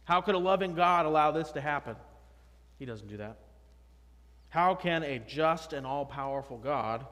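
Pastor in his thirties, casual emphatic preaching, mid-pitched voice, church reverb. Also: fairly bright tone. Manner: conversational, emphatic preaching with rhetorical questions. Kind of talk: sermon. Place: church sanctuary, at a pulpit. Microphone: pulpit microphone, far camera.

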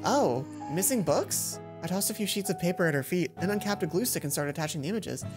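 Low-voiced young woman narrating over game music. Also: rapid-fire. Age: young adult. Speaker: let's play host. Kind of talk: reading a visual novel aloud as narration. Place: indoor room, seated at a computer.

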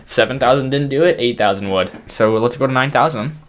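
A teenage boy talking casually to his stream in a small bedroom, excitable and jokey, his voice strained from overuse. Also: medium pitch, slight background noise. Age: teens. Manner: excitable, jokey, talks fast in bursts. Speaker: YouTuber. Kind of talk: casual stream chat to camera.

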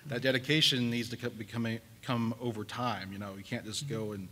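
A man's voice: medium pitch, steady tone, brisk, bright, forceful tone. A man giving his view in unscripted talk on a panel. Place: convention panel room. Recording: reverberant room, faint background noise.

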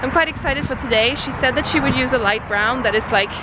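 A young woman speaking in a slightly high voice, chatting casually to a camera at arm's length. She hesitates often, filling gaps with ums and likes. Loud city traffic noise surrounds her outdoors. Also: quick pace. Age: young adult. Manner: chatty, hesitant, many fillers. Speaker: vlogger. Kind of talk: casual vlog talk to camera. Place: outdoors in a city, under a bridge. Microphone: handheld camera at arm's length.

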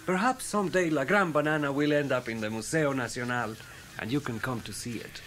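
An actor playing a gallant, idealistic South American adventurer with a Spanish accent and courtly, formal manners in a radio play. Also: male voice, medium pitch, a bit bright, slight background noise, natural voice. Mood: gallant, warm. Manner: courtly, formal, unhurried phrasing.

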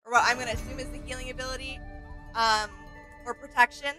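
A woman's voice: sits high, fairly even intonation, quick pace, pushed and bright, soft background music. A woman, chatting casually as co-host of a reaction. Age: 20s. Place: small home gaming room, indoors.

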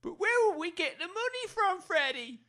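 A woman's voice: extremely high pitch, sing-song, moderate pace, sharp tone, full character voice. A woman playing a harried, scolding village mother in an audio comedy drama, exasperated and colloquial. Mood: anxious.

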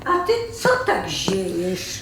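A woman playing a sharp, sarcastic, fed-up live-in partner in a radio drama, brisk and sardonic. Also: on the low side, big pitch swings. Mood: teasing. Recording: roomy sound with faint noise.

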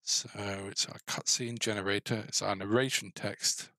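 A man's voice: fairly low pitch, fairly even intonation, normal speed, bright, forceful tone, no echo. A middle-aged man giving casual, mildly grumbling, self-deprecating commentary as he codes a game, on a headset mic. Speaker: game developer.

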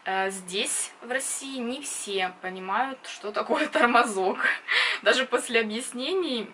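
Young woman from donbass, donbass accent, highish bright voice, blunt chatty vlog talk. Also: measured pace, slight background noise. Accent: Donbass Russian. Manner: chatty and blunt, laughs often, gestures with hands. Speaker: vlogger. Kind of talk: casual to-camera chat. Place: small indoor room with wooden wall.

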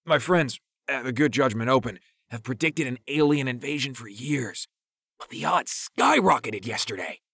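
A man voicing a hyper, excitable teenage stoner conspiracy theorist in an audiobook, with an emphatic cadence. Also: mid-range voice, moderate pace, breathy edge, no room sound, clean studio sound, pitched above the performer's own voice. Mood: breathless alarm. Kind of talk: audiobook character acting.

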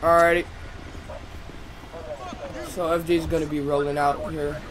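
A man's voice: fairly high pitch, level tone, measured pace, crowd noise, rough recording. A man doing casual in-character roleplay as a fire officer in a video game, addressing people informally.

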